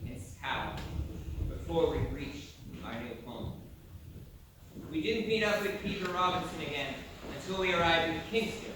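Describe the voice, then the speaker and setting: A man's voice: fairly high pitch, animated, moderate pace, long pauses, close to an everyday voice. Settler storyteller, a man acting, in an echoey hall. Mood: reflective. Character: reflective male Irish settler recounting his nautical tale. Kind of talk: scripted stage narration. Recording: echoey hall from far off, noisy.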